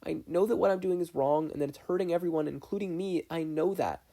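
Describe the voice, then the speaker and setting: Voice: medium pitch, fast, vocal fry, warm tone, pitched above the performer's own voice, natural voice. A narrator voicing a despairing, self-loathing, defensive adolescent boy in a fan fiction reading. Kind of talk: fan fiction audiobook character voice.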